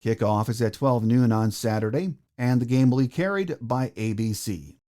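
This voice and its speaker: Casting: American male sports podcast host, relaxed dark tone, mid-range pitch, team preview delivery. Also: normal speed. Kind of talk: sports podcast hosting, game preview notes.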